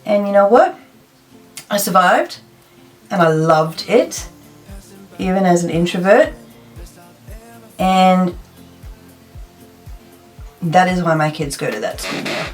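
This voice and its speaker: A woman's voice: lowish voice, animated, slow, pauses often, faint music behind, poor audio. A woman in her thirties from South Africa, chatting and thinking aloud as she does her makeup in a get-ready-with-me video. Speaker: beauty vlogger. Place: plain indoor room.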